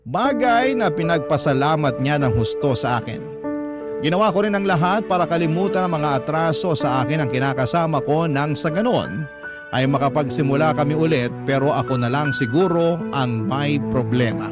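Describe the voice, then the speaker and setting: A troubled, guilt-torn man played by an actor narrates in the first person for a radio love drama. His fairly high voice is earnest and confessional. Loud music plays under every word. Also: moderate pace, lightly acted.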